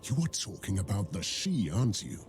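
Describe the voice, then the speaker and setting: A man playing a grim, vengeful knight in a fantasy game, with a gravelly voice and a sardonic, blunt, challenging manner. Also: on the low side, big pitch swings, a little fast, a little mellow. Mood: wry, guarded. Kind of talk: dramatic fantasy game voice acting. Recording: dramatic music behind the voice. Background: music.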